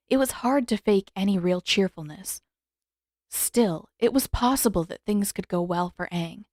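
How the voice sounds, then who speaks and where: A woman narrates a story in a medium-pitched voice. It is scripted audiobook reading, recorded dry with very little room sound. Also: darkish tone, no echo. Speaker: narrator and author reading fan fiction.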